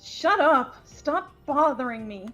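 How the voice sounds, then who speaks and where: A woman's voice: high voice, animated, slow, fairly bright tone, faint music behind, heavily acted. A woman voicing a devoted, volatile young woman in an amateur playthrough of a visual novel game. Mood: nervous, defensive. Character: timid, stammering, devoted young woman with a brash sadistic other self.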